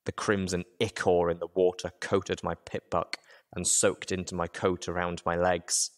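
Low-voiced man narrating a story. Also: level tone, normal speed. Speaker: audiobook narrator. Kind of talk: dramatic first-person story reading.

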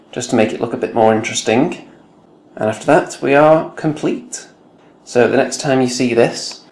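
A man casually narrating his hobby build log in a relaxed, wry, understated way. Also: medium pitch. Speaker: hobby video creator. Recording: echoey home room.

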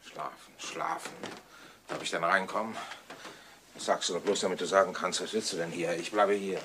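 An actor playing a sullen, irritable husband, curt and grumbling, in a German crime radio play. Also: male voice, sits low, steady tone, moderate pace, sharp tone. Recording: old radio play with faint noise.

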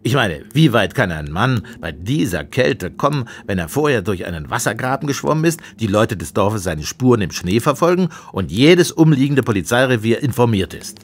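Blustering, animated police inspector, male. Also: on the low side, moderate pace, hardly any pauses, a little hiss or hum. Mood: confident. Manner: brusque, indignant, emphatic. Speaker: actor in an audio drama. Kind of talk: German audio drama acting.

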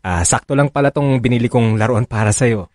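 A man's voice: medium pitch, brisk, a little mellow, dry recording, natural voice. An actor plays a Filipino boyfriend in a radio drama, doting and reassuring. His mid-range voice is casual and soothing. The sound is dry, with no room on it.

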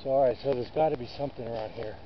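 A man in his thirties talks loosely to the camera as he hikes, muttering and rambling as he goes. His voice is neither high nor low, caught on a phone held at arm's length. The sound is muffled, with clear outdoor noise behind him. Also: racing. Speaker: vlogger. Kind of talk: casual hiking vlog. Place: outdoors in woods by a river.

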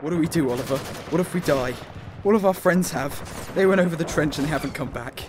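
A man's voice: a little high, fairly quick, close to an everyday voice. A man playing a frightened, doubting young soldier in a First World War radio drama. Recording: noisy, with gunfire and artillery behind.

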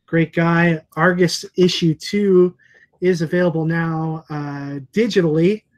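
A man in his forties hosting a casual video call chat, relaxed and chatty with many fillers, talking through earphones in a room lined with comic books. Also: on the high side, measured pace. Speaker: comic shop owner and host. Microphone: earphone headset on video call.

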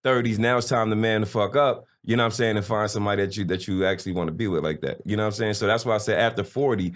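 A man's voice: on the low side, very fast. An American man in his forties co-hosting a podcast in casual, slangy talk, often checking that he is understood. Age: 40s. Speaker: podcast co-host. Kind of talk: casual chat. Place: small podcast set indoors. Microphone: podcast microphone.

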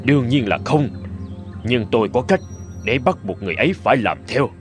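A man voicing a stern, scheming middle-aged wealthy father in a cartoon fairy tale, speaking in a measured, deliberate way. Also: mid-range voice, animated, close to an everyday voice. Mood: calm scheming. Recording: clear background music.

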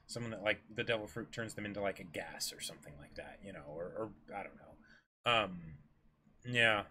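A man's voice: fairly low pitch, moderate pace, sharp tone, a little hiss or hum. A man in his twenties chatting casually as co-host of an anime discussion stream. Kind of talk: casual chat.

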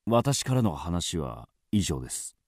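Low-pitched formal police detective. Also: male voice, big pitch swings, a little mellow, slight background noise. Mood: grave. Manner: formal, measured, deferential. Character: polite, serious male police detective. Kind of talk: radio drama acting.

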